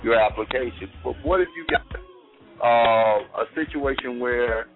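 A man calling in to a radio show, speaking haltingly with repeated words and many fillers. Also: medium pitch. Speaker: caller and political commentator. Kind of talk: call-in discussion. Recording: tinny telephone call with music.

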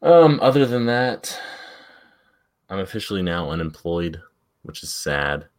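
A man co-hosting a casual podcast, deep-voiced and self-deprecating. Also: big pitch swings, a little slow. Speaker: podcast co-host.

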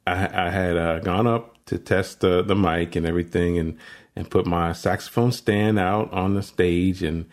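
A man who plays saxophone, telling stories in a relaxed, casual way with small chuckles between thoughts. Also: sits low, moderate pace. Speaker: saxophonist and co-host. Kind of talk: casual conversation.

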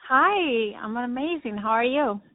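A woman from Colombia, a guest artist speaking in a phone interview, chatty and fast-flowing, with lots of "like.". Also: a little high, lively intonation, no echo. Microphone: telephone. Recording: tinny, dry phone line.

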